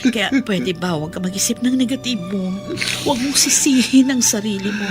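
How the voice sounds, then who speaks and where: A woman's voice: medium pitch, fairly quick, over music, natural voice. A woman playing a desperate young wife and mother in a radio drama, pleading and emotional.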